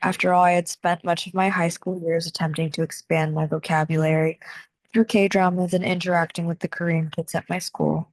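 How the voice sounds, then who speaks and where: A young woman with an American accent narrates a personal essay in a measured, soft-spoken way. Her voice sits a little low for a woman, and the sound is dry and close, with no room around it. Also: flat delivery, no room sound. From United States. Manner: measured, soft-spoken reading with deliberate pauses. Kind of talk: scripted reflective narration.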